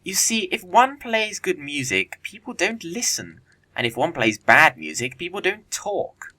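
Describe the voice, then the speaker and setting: A man acting a glib, airy young Victorian gentleman in an audio play, witty and obliging. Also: on the high side, sing-song, a little slow, heavily acted. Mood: breezy, placating.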